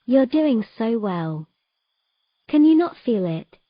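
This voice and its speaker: A woman playing a patient, gently commanding mermaid in a romantic fantasy audio drama, giving measured, encouraging instructions. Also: on the high side, very animated, dry recording, muffled recording.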